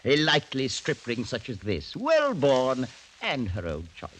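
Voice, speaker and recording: A man's voice: medium pitch, wildly swooping pitch, a little slow, a bit bright, poor audio. A gleeful, menacing old nobleman in vintage radio drama. His chuckling, mock-courteous delivery leaps all over the range. The old recording carries a light layer of noise. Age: older. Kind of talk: 1940s-50s radio acting.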